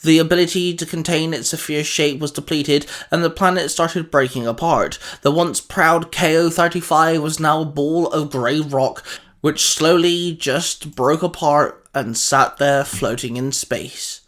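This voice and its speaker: A man narrates a scripted story in a dramatic style. His voice sits a little higher than most men's, and he reads as a storyteller would. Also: unhurried, a bit bright.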